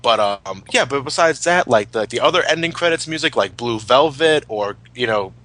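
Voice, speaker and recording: Animated, bright-voiced man talking emphatically. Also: medium pitch, normal speed. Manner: opinionated, emphatic, profane. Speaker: podcast co-host. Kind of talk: casual chat, retrospective commentary.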